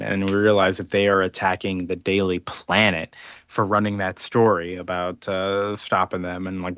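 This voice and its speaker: A man's voice: fairly low pitch, fairly bright tone, no echo, muffled recording. A man casually retelling a comic's plot on a podcast, rambling with lots of fillers. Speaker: podcast host recapping a comic. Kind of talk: casual chat.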